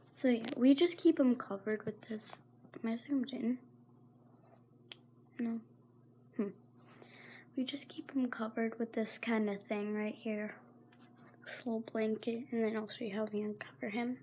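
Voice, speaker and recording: A woman's voice: a little high, fairly even intonation, unhurried, long pauses, dark tone, slight background noise. A teenage girl with a sore throat, rambling and apologizing in a casual pet vlog, often half-whispering, filmed on a handheld phone at home. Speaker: vlogger. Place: carpeted home room, indoors. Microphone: handheld phone camera.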